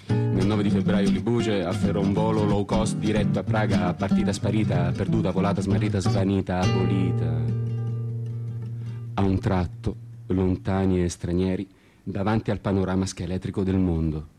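A man narrating a scripted first-person story in an ironic, disenchanted, deliberately repetitive way. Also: low voice, flat delivery. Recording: prominent music under the voice. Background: plucked guitar music.

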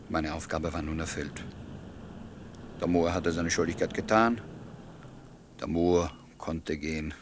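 An actor playing a wry, resigned narrator of his own story in a German radio play, husky and measured. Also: deep voice, fairly bright tone, slight background noise. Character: wry, resigned male first-person narrator.

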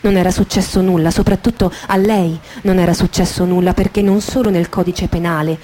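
A woman giving a dramatic literary reading for radio theatre, in measured short phrases with deliberate pauses. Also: fairly low pitch, fairly even intonation, mellow, slight background noise. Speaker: actress reading a dramatised memoir. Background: guitar music behind the voice.

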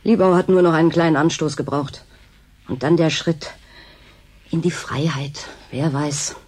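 An actress playing a blunt, disillusioned worker at a remote station in a German radio drama, curt and sardonic. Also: female voice, sits low, steady tone, a little slow, a little mellow, slightly rough. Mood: bitter, resigned.

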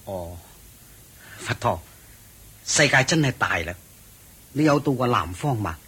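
聲線明亮、語調生動嘅阿難. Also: male voice, mid-range voice, animated, a little slow, a bit bright, breathy edge, heavily acted. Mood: eager, curious. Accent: Hong Kong Cantonese. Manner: deferential, inquisitive. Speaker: radio drama actor. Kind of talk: Cantonese radio drama acting. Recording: faint background noise.

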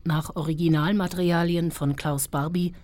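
A woman's voice: lowish voice, fairly even intonation, normal speed, darkish tone. A woman reading a scripted title and credits announcement for a radio play. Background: music.

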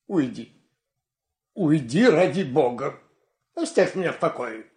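An actor playing a grieving, weary king who speaks haltingly and with sorrow in an audio play. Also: fairly high pitch, warm tone. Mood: anguished. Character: grieving old king, tender father, weary and distraught. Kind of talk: dramatic audio play acting. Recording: roomy echo.

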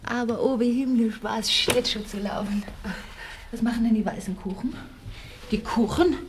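An actress playing a wistful, high-strung lady of a wealthy household in a German radio play, speaking lyrically and haltingly, often hushed. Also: background noise, natural voice. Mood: excited. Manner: theatrical, lyrical, halting, often dropping to a hush.

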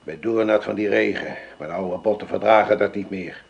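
A blunt, grumbling old farmer, low and rough-edged. It is a gruff, distrustful old man on a radio play. The recording sounds dull and lo-fi. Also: normal speed. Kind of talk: radio drama acting.

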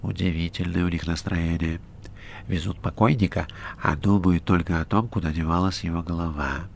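Guy doing a sly rude little red-haired rogue, deep offhand voice. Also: steady tone, normal speed, gravelly, slight background noise. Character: short red-haired fanged man, brash and cheeky. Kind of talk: audiobook character reading.

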